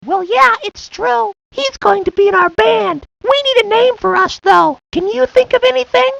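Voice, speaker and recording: Very high creaky little cartoon game kid, boy, super into rock, muffled dry audio. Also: normal speed, a bit bright, no room sound, exaggerated voice. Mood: chatty, eager. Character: enthusiastic young boys who love rock and roll. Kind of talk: cartoon video game character lines.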